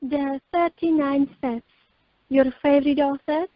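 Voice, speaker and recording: A woman's voice: high voice, fairly even intonation, unhurried, no room sound. A woman playing an eager, coy and playful romantic woman in an amateur radio play over a phone line. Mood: flirty. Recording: muffled telephone conference line.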